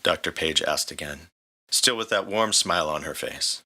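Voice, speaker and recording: A man's voice: extremely low pitch, moderate pace, bright, forceful tone. A man reading scripted story narration as the narrator. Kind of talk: scripted fan fiction narration.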